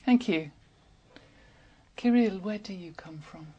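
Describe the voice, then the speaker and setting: A woman examiner in a speaking exam, asking questions and reading out task instructions in a measured way. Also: mid-range voice, warm tone, breathy edge, highs cut off. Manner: measured, reads instructions evenly.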